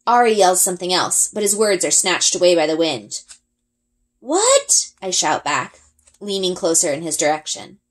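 A woman reading a first-person children's adventure story aloud in an expressive storytelling style. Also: lowish voice. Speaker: read-aloud reader, elementary school.

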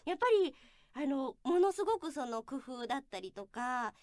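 A woman's voice: high voice, very animated, moderate pace, darkish tone, no room sound, clean studio sound. A woman co-hosting a casual free talk, chatting politely and eagerly as a voice actress.

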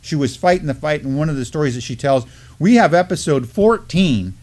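A man's voice: fairly high pitch, lively intonation, brisk, darkish tone. A man in his fifties co-hosting a news talk, telling stories and commenting conversationally in an earnest, emphatic way. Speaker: co-host of a Native news live stream. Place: indoor studio at a desk with a backdrop.

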